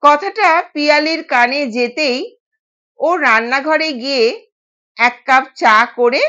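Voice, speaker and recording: A woman narrating a scripted audio story in a measured, expressive way, recorded with dull sound. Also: high voice.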